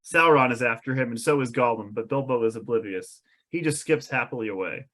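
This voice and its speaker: A man's voice: medium pitch, fairly quick, a bit bright. A man reading stage directions as narrator at a table read, descriptive and drily amused.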